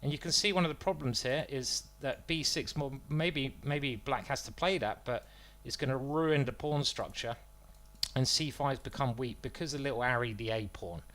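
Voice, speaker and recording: A man talks through his chess game live, weighing moves out loud and poking fun at himself between lines. His voice sits at an ordinary middle pitch for a man, and his delivery is loose and chatty rather than polished. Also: normal speed. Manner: self-deprecating, joking, thinking aloud about moves. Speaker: chess streamer commentating his own games.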